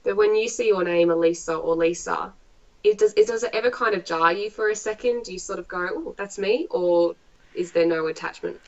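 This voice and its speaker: A woman's voice: fairly low pitch, fairly quick, muffled recording. A woman author hosting a casual podcast interview, chatty and full of slang, laughing often.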